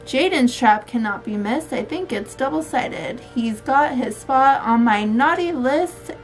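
Woman, thirties, high voice, expressive storybook read-aloud, unhurried, sing-song. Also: soft background music. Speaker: vlogger mother reading to her young child. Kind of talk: storybook read-aloud and casual chat with a child. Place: small room at home, indoors.

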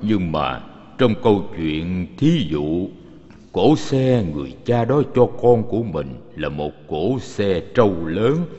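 Low, reverent monk disciple, echoing. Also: male voice, sing-song, slow pace, mellow, heavily acted. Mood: earnest questioning. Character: reverent, humble male monk disciple questioning his master. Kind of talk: formal animated film dubbing. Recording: muffled, long echoing reverb.

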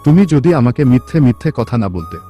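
A man's voice: mid-range voice, big pitch swings, quick pace, mellow, faint music behind, close to an everyday voice. A man voicing a hapless young village husband in a cartoon, speaking in an earnest, plaintive way. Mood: regretful, complaining. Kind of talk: cartoon voice acting.

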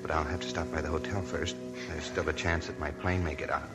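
A kind, awkward army lieutenant. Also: male voice, a bass voice, fairly even intonation, fairly quick. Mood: gentle. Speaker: actor. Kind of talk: 1940s radio acting. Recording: old radio broadcast with music.

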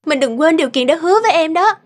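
A woman voicing a gentle, secretive young village wife in an animated folktale, soft-hearted and coy. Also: extremely high pitch, fast. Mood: reminding, firm. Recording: loud music behind the voice.

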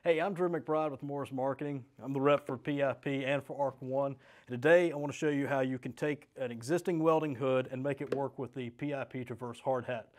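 A man's voice: medium pitch, steady tone, brisk. A middle-aged American man presenting a step-by-step product demonstration as a sales rep, in a plain-spoken, practical way. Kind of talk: step-by-step instructional product demo. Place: small indoor studio set with desk and shelves.

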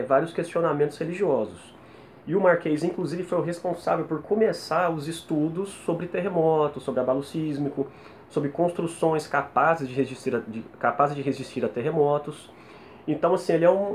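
A man's voice: on the high side, darkish tone. A Brazilian man in his thirties reviews music casually to camera in a conversational way, often adding little tag questions, speaking Brazilian Portuguese. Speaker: music reviewer, video host. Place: small plain room indoors. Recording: noisy home room ambience.

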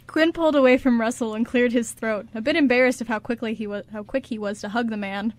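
A woman narrates a radio drama from a script. Her voice sits slightly on the high side as she tells the story between the characters' lines. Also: quick pace, no echo.

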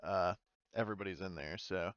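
A man's voice: low voice, fairly quick, fairly bright tone, clear, resonant tone, no echo, muffled recording. A man running a tabletop game as game master, casually thinking aloud and sometimes swearing.

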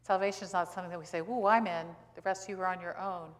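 An American woman in her sixties, a pastor giving a sermon in a conversational, emphatic way with rhetorical questions and pauses for stress. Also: lowish voice, steady tone. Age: 60s. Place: church sanctuary, indoors at a pulpit. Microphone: pulpit gooseneck microphone. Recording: reverberant church hall.

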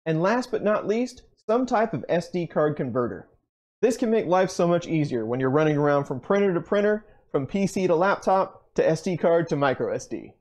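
A young man hosting a channel, briskly running through a list of tools in a scripted, upbeat way with dry jokes, recorded in a basement workshop. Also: a little high, animated, a little mellow. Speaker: channel host. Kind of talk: scripted tool rundown.

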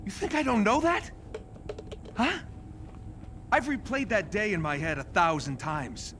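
An actor playing a cocky, sarcastic genius superhero inventor who is bitter and guarded, talking in wisecracks in a video game cinematic. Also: male voice, high voice, animated, unhurried, a bit bright, a little hiss or hum, heavily acted. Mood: angry, bitter.

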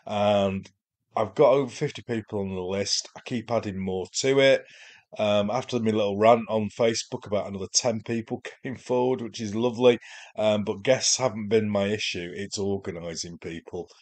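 A man with a Yorkshire accent hosting a wargaming podcast, talking in a rambling, self-deprecating way, his voice chesty and hoarse from a chest infection. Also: fairly low pitch, normal speed, a little mellow. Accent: Yorkshire English. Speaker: podcast host. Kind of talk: casual solo podcast monologue.

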